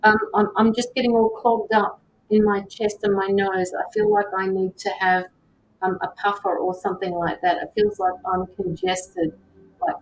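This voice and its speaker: Lively, gravelly-voiced woman tarot reader. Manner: addresses viewers by name, gentle, hesitant with many ums. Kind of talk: live-stream psychic readings for viewers. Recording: slightly dull lo-fi sound with faint rumble.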